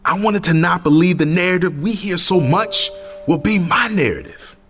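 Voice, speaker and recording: An actor playing an intense, embittered young community activist in an audio drama, impassioned and slangy. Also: male voice, sits high, very animated, normal speed, fairly bright tone, big-room sound, faint music behind, full character voice. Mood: grieving, earnest.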